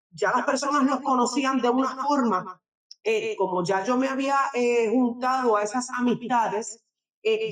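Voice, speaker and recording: A middle-aged woman from Puerto Rico with a Puerto Rican accent, giving a halting religious testimony that is full of fillers as an interview guest. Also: a little high, far from the mic. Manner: halting, often tags sentences with a questioning filler. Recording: echoey room, speaker away from the mic.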